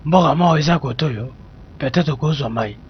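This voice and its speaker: A wry cartoon forest animal played by a man rambles deadpan. The audio is a little muffled. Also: fairly high pitch, moderate pace, breathy edge.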